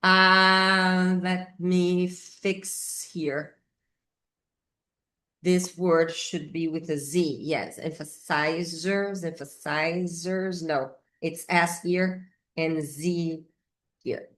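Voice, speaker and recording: A middle-aged Brazilian woman, a native Portuguese speaker, teaches English grammar informally with a Brazilian accent, thinking aloud haltingly and repeating key words. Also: lowish voice, steady tone, a bit bright. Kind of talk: informal whiteboard grammar lesson.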